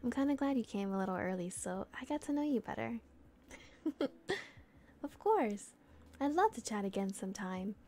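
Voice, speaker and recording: A young woman playing a friendly, bashful, teasing older sister in a gentle, chatty ASMR roleplay. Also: fairly high pitch, animated, normal speed, pauses often. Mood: warmly teasing. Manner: gentle, giggly, bilingual English and Japanese. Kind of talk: soft ASMR roleplay, casual chat.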